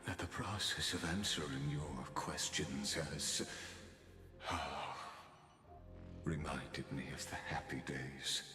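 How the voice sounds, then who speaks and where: A man's voice: extremely low pitch, slow. An actor playing a cold, grandiose, scholarly male villain in a video game, with formal, theatrical speech. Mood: coolly polite, ominous. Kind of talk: scripted game voice acting. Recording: long echoing reverb under music.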